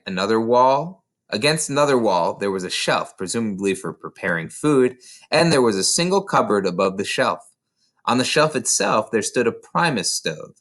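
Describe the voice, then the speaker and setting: A man's voice: on the low side, fairly bright tone. A man reading a children's novel aloud as scripted narration. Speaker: storybook reader.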